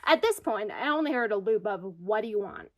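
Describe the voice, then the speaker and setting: A woman's voice: medium pitch, animated, fairly quick, natural voice. A woman acting an anxious, self-deprecating single New Yorker in a comedic audio drama monologue about herself. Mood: wry, rueful. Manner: self-deprecating, wry, confessional. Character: anxious single New York woman spiraling into existential crisis. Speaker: lead actor in radio comedy.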